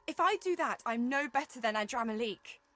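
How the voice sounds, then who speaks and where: A woman's voice: fairly high pitch, lively intonation, fairly quick, pushed and bright. An actress playing an anxious, earnest young woman adventurer in a video game, hesitant and emotional. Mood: distressed.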